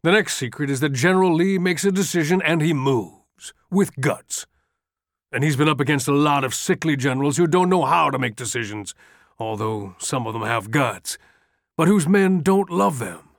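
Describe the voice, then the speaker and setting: A man voicing a gruff, weary army general who speaks bluntly and earthily. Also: on the high side, expressive, moderate pace, dry recording, studio quality, voice pushed up. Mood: forceful.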